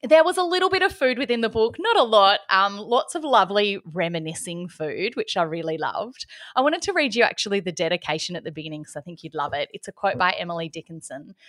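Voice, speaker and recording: A woman's voice: medium pitch, animated, fast talker, few pauses, a bit bright, no room sound, studio quality. An Australian woman co-hosting a casual chat about books and food, chatty and enthusiastic, often laughing. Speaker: podcast co-host.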